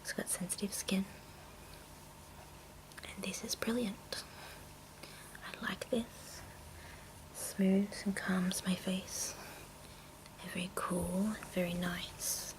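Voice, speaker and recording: A woman speaking in a breathy whisper in an ASMR style, softly describing things and repeating gentle words. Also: mid-range voice, steady tone, poor audio. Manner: slow, repeats soft words as trigger sounds. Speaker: ASMR video creator. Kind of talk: ASMR, softly narrating personal makeup items.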